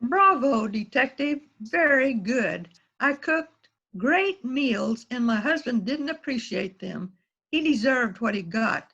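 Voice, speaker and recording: A woman's voice: fairly high pitch, expressive, takes it slowly, vocal fry, a bit bright, breathy edge, highs cut off, full character voice. A woman playing a polite, composed housewife with a secret in an amateur readers theater rehearsal, speaking in a mannered way. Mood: smug, bitter. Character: polite, outwardly innocent housewife, secretly a murderer.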